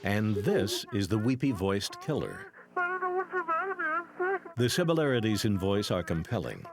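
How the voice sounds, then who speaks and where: A man narrating a true-crime documentary in a scripted, dramatic and deliberate style. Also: fairly low pitch, big pitch swings.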